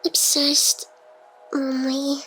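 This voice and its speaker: A woman performs a young female AI hacker, wounded yet playful and devoted, in an intimate ASMR roleplay. Her voice sits high and girlish. She speaks in halting, breathy phrases that tease and often sink into whispers. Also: monotone, pushed and bright, soft background music, exaggerated voice. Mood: urgent, intimate.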